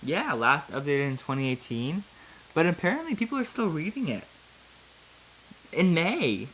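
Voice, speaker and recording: A woman's voice: low-pitched, big pitch swings, normal speed, fairly bright tone, slight background noise, low-quality recording. Female video host, theatrical and giggly, moving between mock-dramatic story reading and casual remarks.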